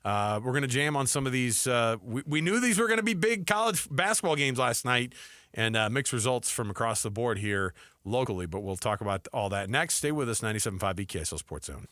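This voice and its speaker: Fast-talking, bright American male radio host. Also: medium pitch, very animated, dry recording, clean studio sound. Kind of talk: conversational sports talk radio. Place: radio studio.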